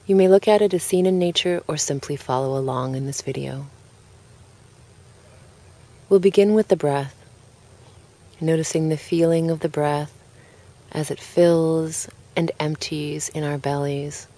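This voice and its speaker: A woman narrating a guided relaxation in a calm, gentle and unhurried way, leaving pauses between phrases. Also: sits low.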